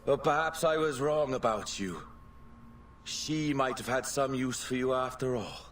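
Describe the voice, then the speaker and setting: An actor playing a dying, half-mad pirate sage in a video game, weak, breathy and musing in halting phrases. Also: male voice, mid-range voice, a bit bright, slight background noise, close to an everyday voice. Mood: wistful. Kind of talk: dramatic video game cutscene acting.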